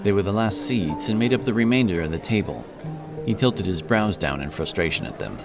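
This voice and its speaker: A man's voice: deep voice, animated, brisk. A man narrating a scripted fantasy story. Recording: narration over prominent music. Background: music.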